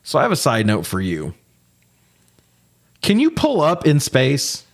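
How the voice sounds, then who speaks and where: A man co-hosting a podcast, chatting casually through a movie recap in a jokey, swear-heavy way that gets animated when he is riled. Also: mid-range voice, unhurried. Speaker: podcast co-host.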